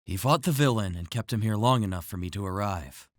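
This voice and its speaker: Audio drama superhero, played by a man: very low-voiced, authoritative, polite and serious male lead, dry recording. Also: lively intonation, fairly quick, dry recording, natural voice. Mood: grave. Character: grave, courteous male superhero, steady and authoritative.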